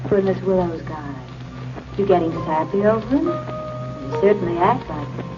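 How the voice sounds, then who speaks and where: A woman's voice: fairly low pitch, lively intonation, normal speed, lightly acted. An actress playing a young woman's nagging conscience in a mocking, sardonic 1940s radio style. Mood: mocking, insistent. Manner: sardonic, needling. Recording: dull old 1940s radio, music behind.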